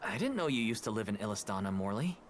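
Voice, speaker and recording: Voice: medium pitch, very animated, quick pace, background noise, natural voice. A performer playing an upbeat, earnest young male guard in a fantasy video game, friendly and eager in delivery. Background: game music.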